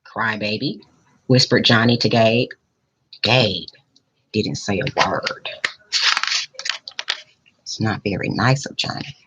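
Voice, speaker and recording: A woman reading a picture book aloud expressively, pausing in a teacherly way to put questions to young listeners. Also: rumbling low, muffled recording, noisy background. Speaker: school principal reading aloud to children.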